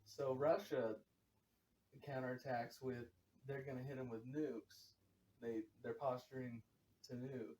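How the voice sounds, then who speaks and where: Halting man speaking from a distance. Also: medium pitch, fairly even intonation, dark tone, roomy sound. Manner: halting, repeats words while finding them. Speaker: guest. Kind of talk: casual group chat. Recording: distant mic, faint ambience.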